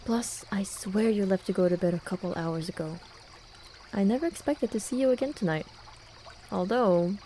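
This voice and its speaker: A woman's voice: medium pitch, warm tone, slight background noise, natural voice. A young woman acting a playful, teasing student friend in a casual, intimate ASMR roleplay. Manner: casual, teasing, with sighs and chuckles. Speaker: voice actor. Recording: running and trickling water behind voice. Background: hot spring water ambience.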